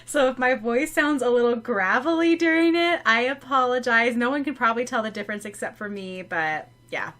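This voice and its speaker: Podcast co-host, woman, bright tense voice, relaxed and rambling. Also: fairly high pitch, fairly quick, hardly any pauses. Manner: relaxed, rambling, laughs between thoughts. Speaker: podcast co-host and audiobook narrator. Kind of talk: casual chat about a fanfiction chapter.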